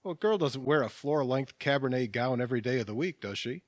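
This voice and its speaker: A mild, patient businessman played by an actor in an audio drama. He is gentle and a touch hesitant, but his pitch moves in a lively way. The recording is dry and free of room sound. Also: quick pace, no room sound, natural voice. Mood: gently teasing. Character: mild, settled, kindly businessman, a patient listener. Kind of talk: naturalistic audio drama dialogue.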